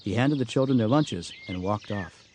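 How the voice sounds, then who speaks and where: A man's voice: on the low side, expressive, moderate pace, a little mellow, slight background noise. A man reading a satirical storybook as scripted audiobook narration. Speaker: author reading own audiobook.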